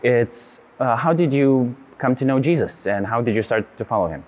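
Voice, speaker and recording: A young man from Lithuania, a native Lithuanian speaker, hosting an interview in English with many pauses and fillers, seated in a large church. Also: mid-range voice, lively intonation. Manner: friendly, hesitant with many fillers. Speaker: host. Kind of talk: interview host intro and questions.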